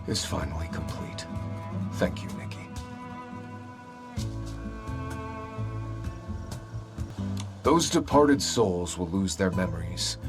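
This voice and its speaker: Gentle, solemn young guide for a game: low, widely inflected, reflective, music underneath. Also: male voice. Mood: solemn, calm. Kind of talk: video game voice acting.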